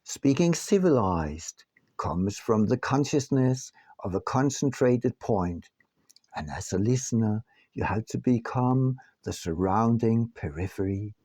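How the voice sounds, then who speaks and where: A man reading his own book aloud as scripted narration, in a deliberate, measured manner with frequent pauses. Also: lowish voice, lively intonation, warm tone, no echo.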